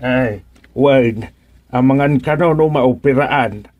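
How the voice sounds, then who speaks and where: A man's voice: medium pitch, slow, phone-quality sound, lightly acted. An actor playing a gossipy, jovial village councilman with an Ilocano accent in a radio drama comedy. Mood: concerned.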